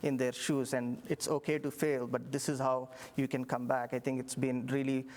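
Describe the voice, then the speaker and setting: A middle-aged man from India with an Indian accent, a professor recounting his path in a relaxed interview, reflective, with many fillers and restarts. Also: medium pitch, normal speed. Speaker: engineering professor. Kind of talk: interview storytelling.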